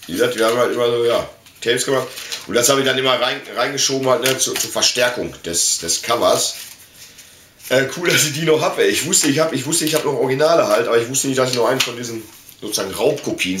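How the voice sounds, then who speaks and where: A man in his forties talks to camera like a keen collector, loose and slangy, at an average male pitch. The room rings noticeably around his voice, and faint noise sits behind it. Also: roomy sound. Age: 40s. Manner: colloquial, slangy, enthusiastic fan talk. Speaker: YouTube vlogger and collector. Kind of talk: casual unboxing chat. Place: small indoor room full of collectibles.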